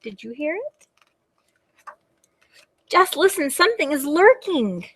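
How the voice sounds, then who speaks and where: A woman voicing a little storybook dragon, boastful and easily startled, with grandiose drawn-out declarations. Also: high voice, expressive, a bit bright, pitched above the performer's own voice, full character voice. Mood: alarmed. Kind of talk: storybook read-aloud.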